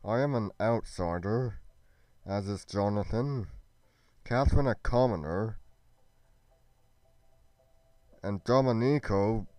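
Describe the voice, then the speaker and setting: A man playing an earnest adventurer in a fantasy roleplay drama, speaking in deliberate, halting, storybook phrases. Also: lowish voice, dark tone, no room sound, lightly acted. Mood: troubled, resolute. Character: earnest male adventurer musing over royal secrets. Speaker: voice actor in a fan-made Minecraft roleplay. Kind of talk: scripted dramatic roleplay dialogue.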